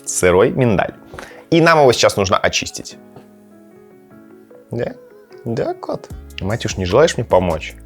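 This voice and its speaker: A man's voice: mid-range voice, big pitch swings, measured pace, pauses often, soft background music. A man hosting a gaming and cooking vlog, chatting casually and playfully, sometimes to his cat. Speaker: host of a gaming and cooking channel. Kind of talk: casual chat to camera with gameplay reactions.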